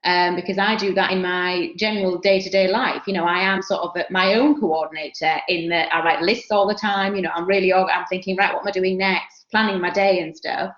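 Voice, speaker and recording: A woman's voice: lowish voice, fairly even intonation, fairly quick, hardly any pauses, a bit bright. Chatty Yorkshire woman on a video call. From Yorkshire, England. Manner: chatty, self-deprecating, many fillers. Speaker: guest speaker. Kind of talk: casual career talk on a video call. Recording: roomy, dull video-call audio.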